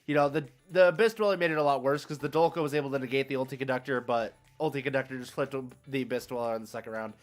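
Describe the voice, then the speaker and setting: A man's voice: mid-range voice, a bit bright. A man live streaming a game, chatting casually with viewers in a rambling, conversational, slangy way. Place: indoors, solo at a streaming setup.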